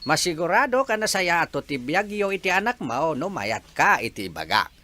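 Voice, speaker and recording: A man acting an insistent, forceful father in an audio drama. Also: on the high side, big pitch swings, normal speed. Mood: firm. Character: insistent father urging his daughter to marry.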